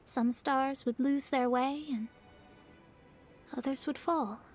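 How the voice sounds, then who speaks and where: A woman voicing a young astrologist in a game, earnest, proud and wistful, with measured, reflective delivery. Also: high-pitched, steady tone, faint music behind. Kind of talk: scripted game voice acting.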